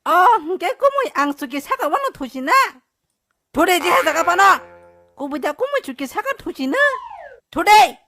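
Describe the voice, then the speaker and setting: Squeaky, extremely high-pitched excitable cartoon character. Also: female voice, unhurried, exaggerated voice. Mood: animated bickering. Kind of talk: cartoon dub acting. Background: faint music.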